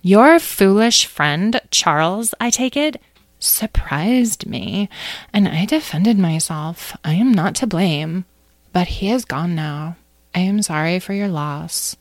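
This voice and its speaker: A woman voicing a dramatic, testy, self-important magical creature in a children's story, with drawn-out, haughty phrasing. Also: deep voice, expressive, a little mellow, dry recording, full character voice. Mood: testy, defensive. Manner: drawn-out, theatrical, haughty delivery.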